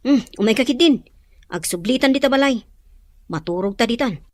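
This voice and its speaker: A woman's voice: a little high, animated, fairly quick, dry recording, lightly acted. A woman playing a matter-of-fact friend in an audio drama, chatty and advising, with an Ilocano accent.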